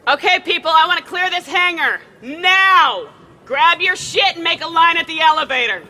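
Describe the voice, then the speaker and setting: No-nonsense female deputy, very sharp forceful voice, animated pitch, clipped, commanding, sci-fi audio drama. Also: moderate pace, a faint crowd, exaggerated voice.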